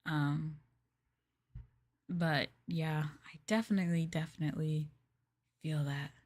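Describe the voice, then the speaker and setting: A woman livestreamer chatting casually with viewers in a gentle, hushed, soft-spoken ASMR style, close to the microphone. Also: low-pitched, steady tone, slow pace, dry recording. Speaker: VTuber livestreamer.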